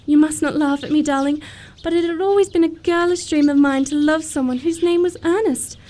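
A woman's voice: sits high, a little fast, mellow, slight background noise, lightly acted. A woman playing a romantic, self-assured young upper-class lady in a period comedy radio play, precise and sweetly matter-of-fact. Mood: dreamy, fond.

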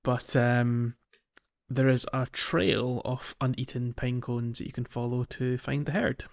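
A man's voice: medium pitch, flat delivery, a little slow, vocal fry, lo-fi sound. A man co-hosting a casual gaming podcast, recounting things in a hesitant, rambling way. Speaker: podcast co-host.

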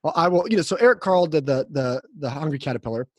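A man hosting a podcast, chatting casually in a halting, informal way. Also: fairly high pitch, animated, a little mellow, dry recording. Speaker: podcast host. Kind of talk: casual chat.

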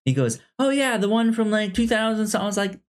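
A woman's voice: medium pitch, big pitch swings, a little fast, darkish tone. A woman chatting casually as a podcast co-host, stammering and repeating syllables as she goes. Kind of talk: casual chat. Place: indoors, recorded in person.

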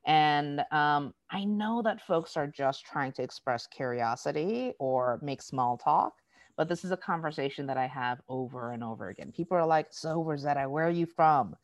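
An American woman speaking in an interview, explaining things in an animated, storytelling way and acting out quoted dialogue. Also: low-pitched, normal speed, dry recording, clean studio sound. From United States. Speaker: diversity educator. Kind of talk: conversational interview.

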